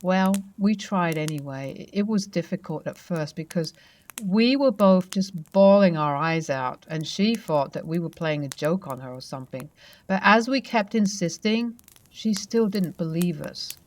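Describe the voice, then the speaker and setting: Female narrator, low-pitched, soft conversational storytelling of paranormal tales. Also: expressive, a little slow.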